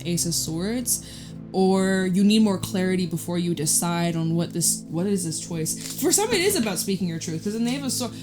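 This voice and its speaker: A woman giving a casual tarot reading in a loud, chatty voice, rambling off into side stories. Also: mid-range voice. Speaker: tarot reader. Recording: guitar music playing behind. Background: guitar music.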